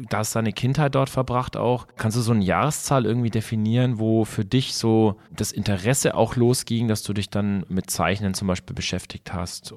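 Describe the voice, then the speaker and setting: A man with a fairly low voice hosts a podcast interview. He asks friendly, rambling questions and often restarts them mid-sentence. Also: creaky. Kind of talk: casual interview chat.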